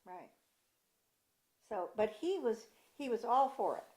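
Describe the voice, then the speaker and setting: An older woman with a big voice, recalling local government history in an oral history interview, pausing and restarting as she searches for words. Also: a little high, expressive, darkish tone, husky. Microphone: table mic in front of a coffee pot.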